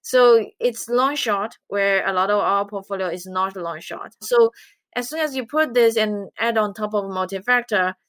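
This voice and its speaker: A woman from China who speaks English, giving measured, earnest answers as an interview guest. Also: medium pitch, fairly bright tone, no echo. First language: Chinese. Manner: measured, earnest explaining with light laughs. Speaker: guest, investment researcher.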